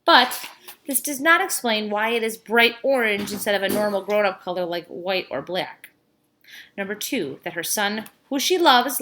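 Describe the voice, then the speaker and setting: A woman reading a children's story aloud like a teacher, with expressive emphasis on key words and casual asides. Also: medium pitch.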